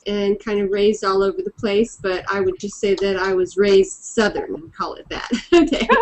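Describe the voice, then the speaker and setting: A woman's voice: medium pitch, animated, measured pace, darkish tone, a little hiss or hum, low-quality recording. A woman guest introducing herself casually, rambling and laughing easily. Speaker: guest artist.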